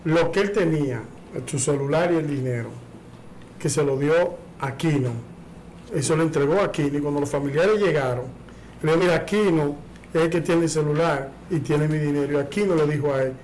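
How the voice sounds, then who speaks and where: A man on a studio talk panel argues his point insistently, in a slightly high voice. There is a faint hiss behind him. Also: a little fast, a little mellow, low-quality recording. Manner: insistent, argumentative. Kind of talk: studio debate.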